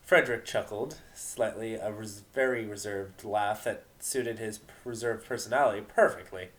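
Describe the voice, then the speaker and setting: A man's voice: on the low side, moderate pace, fairly bright tone. A man narrating a story in an amateur dramatic reading.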